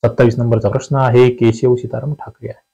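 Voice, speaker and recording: A man's voice: mid-range voice, little pitch movement, fast talker, gravelly, warm tone, husky, lo-fi sound. A man with a Maharashtrian accent teaching an exam-prep lesson, reading questions and answers aloud and stressing points with rhetorical questions. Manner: instructive, emphatic, often asks rhetorical questions.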